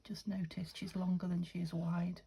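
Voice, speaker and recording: Soft-spoken croaky British craft vlogger woman. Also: on the low side, flat delivery, moderate pace. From United Kingdom. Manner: soft-spoken, thinking aloud, often half-whispering. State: a little croaky. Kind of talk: casual craft-along chat.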